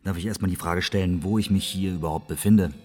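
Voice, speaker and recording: An actor playing a weary, cornered prisoner under interrogation in a radio play, halting, resigned and sardonic. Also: male voice, low voice, steady tone, darkish tone, husky, soft background music. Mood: wary, sardonic. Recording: reverberant hall.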